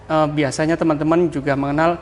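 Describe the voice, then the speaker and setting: A man's voice: fairly high pitch, flat delivery, quick pace, a little hiss or hum. A man with a Javanese ngapak accent, a podcast guest explaining ideas in a casual chat, often ending points with "kayak gitu.". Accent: Javanese Banyumas (ngapak). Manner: explains with many "kayak gitu" tags, laughs easily. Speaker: podcast guest, communication mentor.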